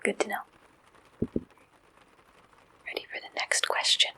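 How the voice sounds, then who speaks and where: A woman's voice: quick pace, bright, forceful tone, low-quality recording. Woman as a whispering, attentive matchmaking agent. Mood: gentle, attentive. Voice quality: breathy whisper. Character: attentive, businesslike female werewolf dating service agent. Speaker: ASMR roleplayer. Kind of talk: whispered ASMR roleplay questionnaire.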